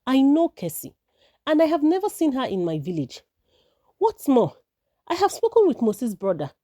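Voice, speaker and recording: A woman storyteller voicing an honest man from a folktale village, calm but firm. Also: high-pitched, moderate pace, no echo, studio quality, pitched above the performer's own voice. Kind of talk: storybook character voice.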